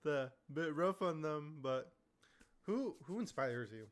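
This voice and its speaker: A man hosting an interview, asking questions in a relaxed, slightly halting way. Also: fairly high pitch. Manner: relaxed, hesitant phrasing with restarts. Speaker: interview host.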